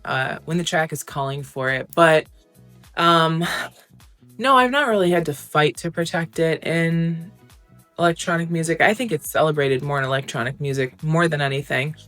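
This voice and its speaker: A young woman with a low, grounded voice talks openly and casually, filling pauses with "um" and "like". Faint music plays quietly under her words. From United States. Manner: candid, chatty, frequent "um" and "like". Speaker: interview guest, recording artist. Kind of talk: casual interview answers.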